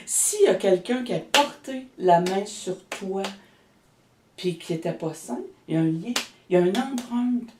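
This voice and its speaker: A woman's voice: medium pitch, animated, unhurried, echoey room, recorded from a distance, background noise. A woman with a Québécois accent, a guest energy healer in a casual interview, talking in a rambling way with many restarts and fillers. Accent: Québécois French.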